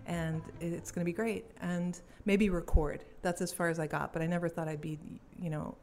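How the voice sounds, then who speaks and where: A woman's voice: on the low side, fairly even intonation, moderate pace, darkish tone, faint music behind. A young woman from Belgium, a cellist, chatting casually in an interview and laughing easily. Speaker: cellist and musician guest.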